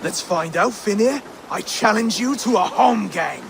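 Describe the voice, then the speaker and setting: A man's voice: sits high, expressive, a little slow, creaky, background noise, heavily acted. A nervous young Saxon would-be king. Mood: sparring. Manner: hesitant, flustered. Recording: game cutscene with loud ambience.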